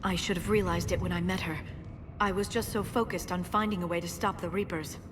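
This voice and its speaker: A woman's voice: lowish voice, steady tone, brisk, lightly acted. An actress playing an earnest, self-doubting female scientist companion in a video game. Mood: anxious, self-blaming. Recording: clear ambient noise behind.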